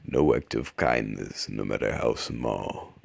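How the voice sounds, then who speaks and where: A man voicing a small, earnest mouse from a storybook fable, speaking deliberately in a raspy voice. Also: rumbling low, dry recording, heavily acted. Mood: proudly earnest.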